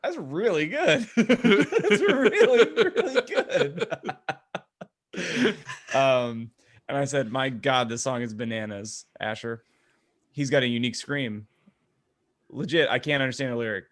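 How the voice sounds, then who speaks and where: A man's voice: medium pitch, expressive, slow, long pauses. A man co-hosting a podcast, chatting casually and telling stories in a jokey way with laughter in his talk. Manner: jokey, laughs while talking. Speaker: podcast co-host. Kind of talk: casual group chat, retelling exchanges.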